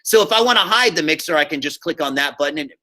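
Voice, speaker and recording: A man teaching a high school class online, giving informal step-by-step instructions and often checking that students follow along. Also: animated, fast talker, bright, forceful tone. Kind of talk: live online class instruction, screen-share walkthrough.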